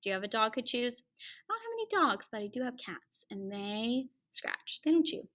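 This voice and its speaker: A woman reading a picture book aloud to children like a teacher, expressively, with dramatic pauses and hushed conversational asides to her listeners. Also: fairly high pitch, big pitch swings.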